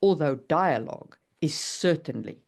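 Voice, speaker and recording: A woman's voice: sits low, lively intonation, a little slow, creaky, a little mellow. A woman co-hosting a writing podcast, explaining in a conversational way and carefully qualifying her points. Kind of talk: casual explanatory discussion.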